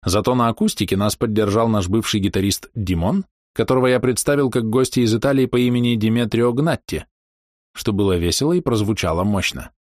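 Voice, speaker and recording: Man, lowish voice, dry close sound, wry slangy first-person diary narration, touring musician. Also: brisk, darkish tone, no room sound. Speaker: touring musician telling his tour diary.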